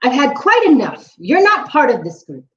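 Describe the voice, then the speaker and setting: A woman's voice: sits high, wildly swooping pitch, normal speed. A woman voicing a bossy, grumpy witch who talks in a snappish, scolding way. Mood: threatening. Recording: roomy, slightly dull recording.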